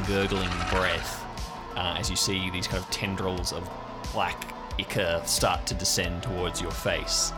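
Brief: male game master, low voice, vivid descriptive narration of a tabletop adventure, music clearly behind. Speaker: game master of an improvised audio drama.

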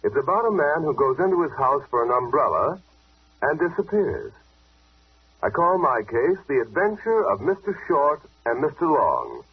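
An actor playing a genial, wry detective storyteller in 1940s radio style, on an old radio recording. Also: male voice, on the high side, big pitch swings, moderate pace, slightly rough, far from the mic, narrow, tinny audio, full character voice.

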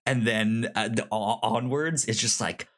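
A man with a fairly low voice chats casually as a podcast host. He is enthusiastic and often stammers, repeating words and sounds as he goes. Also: a little slow, fairly bright tone. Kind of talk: casual chat about TV shows.

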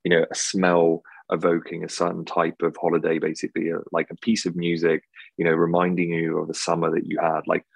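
Deep husky guy with an english accent, talks in long rambling runs full of "basically", dry close sound. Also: monotone, fairly quick, no room sound. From Czech Republic. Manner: rambling, heavy filler words like "basically" and "you know". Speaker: hospitality tech founder, guest. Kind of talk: podcast interview answers.

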